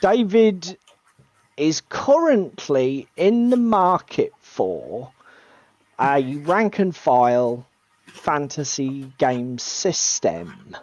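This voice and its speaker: A man with a strained, gravelly voice, co-hosting a casual hobby chat and poking fun at himself. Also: on the high side, big pitch swings, drawn-out words, darkish tone, dry recording. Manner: chatty, self-deprecating, swears casually. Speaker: co-host of a tabletop hobby stream.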